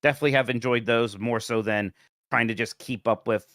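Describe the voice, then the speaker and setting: A man's voice: medium pitch, steady tone, fairly quick, a bit bright, no echo, studio quality. A man chatting casually as a podcast panelist, chiming in conversationally. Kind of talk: casual chat.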